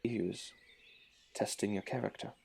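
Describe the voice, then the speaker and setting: Royal confidant male actor, slightly deep, steady and reassuring, devoted, amateur audio-play style. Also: normal speed, creaky, very noisy. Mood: calm, reassuring. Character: loyal, calm attendant and confidant of a prince. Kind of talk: amateur audio drama acting.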